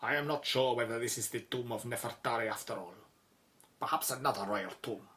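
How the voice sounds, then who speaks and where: Grave, bright-edged male tomb expert played by an actor. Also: medium pitch, a little fast, close to an everyday voice. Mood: solemn, knowing. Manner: solemn, formal, careful phrasing without contractions. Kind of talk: audio drama acting.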